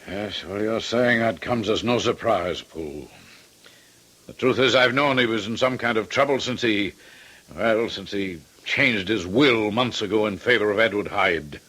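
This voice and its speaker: An actor playing a sober, puzzled gentleman in old-time radio drama, resigned and measured, with a hesitant, reflective manner. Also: on the low side. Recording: faintly noisy vintage radio recording.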